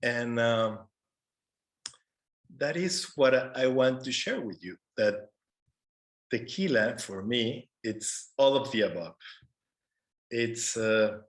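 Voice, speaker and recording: Male panelist, Mexican Spanish accent, medium pitch, unhurried and halting delivery, informal presenting. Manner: halting, searching for words. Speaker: panelist, conservation photographer. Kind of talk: informal presentation to an online audience.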